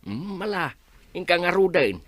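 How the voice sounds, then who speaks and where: A man plays an older village father in a radio comedy drama. His delivery is very animated, swinging up and down in pitch, and the recording is close and dry. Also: a little slow, dry recording, full character voice. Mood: fretful, teasing.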